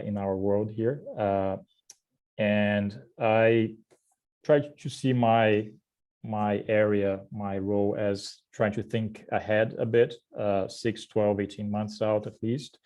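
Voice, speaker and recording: A man's voice: fairly low pitch, mellow. A man in his forties with a Brazilian accent, giving a friendly introduction on a video call, with frequent filler sounds and self-deprecating remarks. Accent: Brazilian Portuguese. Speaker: guest speaker, head of corporate strategy. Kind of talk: casual webinar self-introduction.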